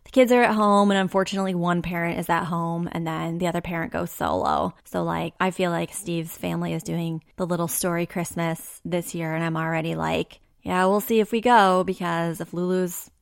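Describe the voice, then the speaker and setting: A hoarse woman in her thirties chats easily as a podcast co-host, her scratchy voice full of fry. She sits a little low in pitch and rambles through long thoughts with plenty of fillers. The recording is close and dry. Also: steady tone, a little fast, few pauses, dry recording. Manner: chatty, talks in long running thoughts with many fillers. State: hoarse, scratchy voice after a loud party. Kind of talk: casual chat.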